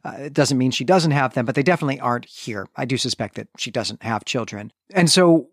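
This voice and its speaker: Man, medium pitch, podcast host, conversational literary recap, long explanations, very dry sound. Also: brisk, slightly rough, no echo.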